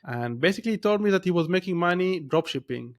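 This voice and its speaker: A man's voice: high-pitched, expressive, fast talker. A man in his thirties with an Israeli accent, a podcast guest telling his story in a rambling way, with many restarts and fillers. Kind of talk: casual interview storytelling.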